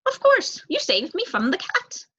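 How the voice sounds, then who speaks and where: A woman voicing a polite, worried mother mouse in a storybook, courteous and gently pleading. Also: very high voice, huge pitch swings, normal speed, highs cut off, voice pushed up, exaggerated voice. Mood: urgent, polite.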